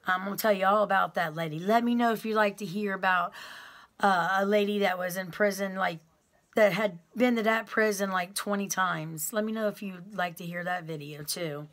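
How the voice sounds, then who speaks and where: A middle-aged woman with a loud, carrying voice, telling a personal story to her viewers in a rambling, heartfelt way. She fills her talk with "um" and calls her audience "y'all.". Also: mid-range voice, steady tone, moderate pace. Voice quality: loud voice that carries. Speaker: vlogger recounting her time in prison. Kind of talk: casual storytelling to camera.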